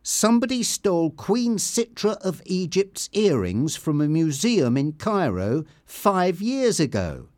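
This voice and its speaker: A man reads as a friendly young man in an audiobook story. He is direct and matter-of-fact, with a raised pitch and lively intonation. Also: a little slow, few pauses, no echo, studio quality. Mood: impressed.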